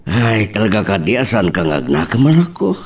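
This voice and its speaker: A man's voice: fairly low pitch, sing-song, moderate pace, a little hiss or hum. A man with an Ilocano accent playing a stern, nagging family man in an audio drama. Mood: irritated.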